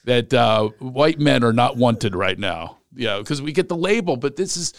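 An American man hosts a casual comedy interview with a very lively, swinging pitch. He tells stories in a joking, conversational way. The sound is dry and close, with hardly any room echo. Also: moderate pace, vocal fry. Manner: joking, animated storytelling. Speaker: podcast host.

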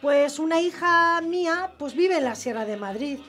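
A woman's voice: high voice, expressive, normal speed, a bit bright. A woman with a Basque Country Spanish accent playing a cheerful, rambling grandmother in her sixties calling a radio quiz. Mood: chatty, homely. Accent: Spain, Basque Country. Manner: rambling, effusive, homely. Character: chatty, cheerful widowed grandmother of sixty-six phoning in. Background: music.

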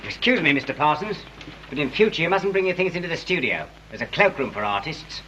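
A hissy, muffled period film clip of an officious attendant. He has an ordinary English accent and a fairly high, sharp, deferential voice. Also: expressive, fast talker. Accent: English, not upper-class. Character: officious, deferential brown-coated male studio attendant. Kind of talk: 1930s British film acting.

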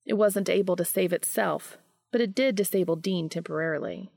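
A woman hosting a podcast and reading fan fiction aloud in an expressive audiobook style. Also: lowish voice, brisk, creaky, no echo, clean studio sound.

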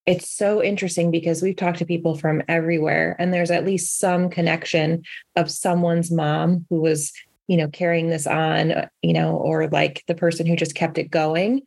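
A woman's voice: fairly low pitch, flat delivery, normal speed, creaky, darkish tone, husky, no room sound, studio quality. A woman co-hosting a podcast in casual chat, rambling with many fillers and restarts. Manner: rambling, filler-heavy, lots of "like" and "you know". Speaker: podcast co-host.

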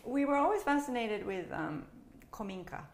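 A woman's voice: on the high side, lively intonation, moderate pace, a little mellow. A middle-aged woman chatting casually as an interview guest, recorded with roomy echo and some background noise. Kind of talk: casual conversation.

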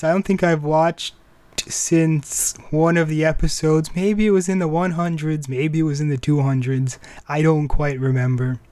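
A man with a fairly high voice hosts a podcast in a casual, conversational way. The sound is dry and close, and his delivery is informal, a bit halting when he improvises. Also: darkish tone, dry recording. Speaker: podcast host.

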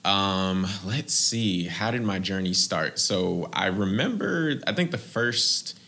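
A man from Boston, a podcast guest, telling his story in a measured, thoughtful way. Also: low-pitched, animated, gravelly, bright, forceful tone. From Boston, United States.

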